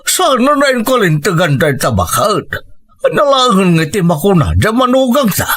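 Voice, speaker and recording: A man's voice: sits high, big pitch swings, moderate pace, a bit bright, heavily acted. A man acting as a nosy, grumbling older husband in an Ilocano audio drama. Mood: complaining. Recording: music under the voice. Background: music.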